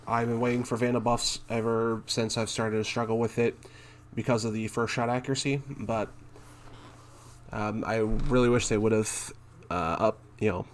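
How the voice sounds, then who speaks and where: A man doing casual commentary as he reads game notes aloud, halting and thinking out loud, with a blunt streak. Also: on the low side, little pitch movement, slight background noise. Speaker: gaming content creator and streamer.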